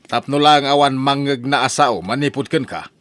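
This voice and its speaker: A man acts out a protective older brother in a radio drama, with an Ilocano accent and a mid-pitched voice. He talks in a chatty, conversational way, like someone passing along news. Overall he sounds caring rather than stern. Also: normal speed, a bit bright, close to an everyday voice. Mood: gossiping. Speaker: elder brother.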